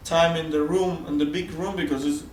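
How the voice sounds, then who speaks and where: A Peruvian-accented man whose first language is Spanish, chatting in halting English with frequent restarts and laughs. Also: fairly high pitch, steady tone, a little mellow, far from the mic, a little hiss or hum. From Peru, Amazon region. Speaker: flatmate renting out a room. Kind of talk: casual chat in broken English. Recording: echoey room, voice off-mic, faint ambience.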